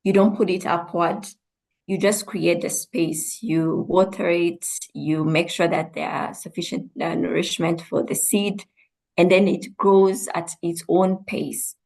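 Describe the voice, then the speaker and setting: A woman from Rwanda, a guest coach, answering interview questions in a measured, reflective way. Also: lowish voice, fairly even intonation, vocal fry, darkish tone.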